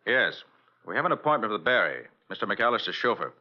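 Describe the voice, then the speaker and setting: An actor playing a brisk, commanding private detective, inquisitive and authoritative, in 1940s radio drama style. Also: male voice, lowish voice, sing-song. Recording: dull old radio recording.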